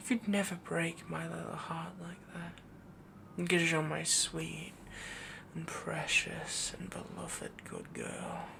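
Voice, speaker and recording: A man playing a doting, possessive yandere werewolf boyfriend in an intimate roleplay audio, soft-spoken, whispery and adoring. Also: fairly high pitch, gravelly, full character voice. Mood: possessive, quietly menacing.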